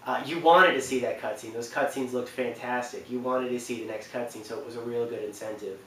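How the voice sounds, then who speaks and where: A man in his twenties hosting a video, talking casually and a little haltingly to the camera. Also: medium pitch, fairly even intonation, a bit bright. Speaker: video host presenting a ranking. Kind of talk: casual unscripted talk to camera. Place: small indoor living room on a couch. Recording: roomy, distant mic, faint noise.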